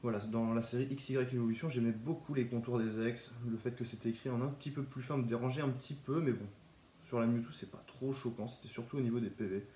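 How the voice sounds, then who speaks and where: A young man with a cold talks in a relaxed, chatty way, showing his graded trading cards in a casual vlog. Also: mid-range voice, fast, echoey room, a little hiss or hum. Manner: relaxed, chatty, with small restarts. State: has a cold, stuffy. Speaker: Pokémon card collector YouTuber. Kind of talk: casual card showcase vlog.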